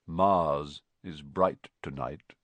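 A man voicing a solemn, cryptic centaur in a storybook, with a deep, sorrowful voice and a slow, portentous manner. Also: sing-song, a bit bright, no room sound, exaggerated voice. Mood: brooding, solemn.

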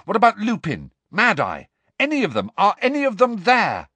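A man's voice: on the high side, very animated, unhurried, pushed and bright, dry recording, pitched above the performer's own voice, heavily acted. A man playing a defiant, hot-tempered teenage boy in an audiobook story, urgent and demanding.

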